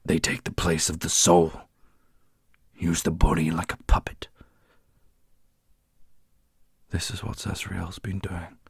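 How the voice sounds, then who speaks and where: A man playing a solemn, strategic warrior leader in a fantasy audio roleplay, speaking in a formal, measured way. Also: deep voice, little pitch movement, creaky, slightly rough, no room sound, clean studio sound, heavily acted. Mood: grave.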